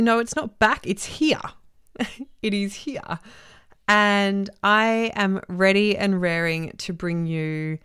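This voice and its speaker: A woman hosting her own podcast as a transformation coach, talking alone in an effusive way, often repeating herself for emphasis, with a voice gone husky from a week-long cough. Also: mid-range voice, a bit bright, no room sound, studio quality. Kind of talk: solo podcast monologue.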